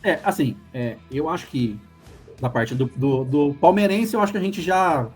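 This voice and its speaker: A Brazilian man, a lawyer guest, explaining in measured, halting sentences with many restarts. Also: on the high side, animated, a little mellow, faint music behind. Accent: Brazilian Portuguese. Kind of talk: casual discussion.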